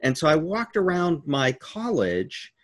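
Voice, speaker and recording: A man in his sixties giving a halting, storytelling answer in an informal virtual interview, with many fillers and the odd laugh. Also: a little high, very animated. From United States. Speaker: keynote speaker. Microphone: video call.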